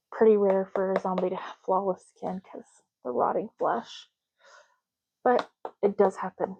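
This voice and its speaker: A woman speaks softly in an ASMR roleplay, with a warm, mellow tone. She explains things gently in short, unhurried phrases, like a patient clerk going through options. The recording is a little dull. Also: medium pitch, slightly rough, no echo. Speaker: ASMR creator playing an ID card clerk. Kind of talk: ASMR roleplay, soft-spoken.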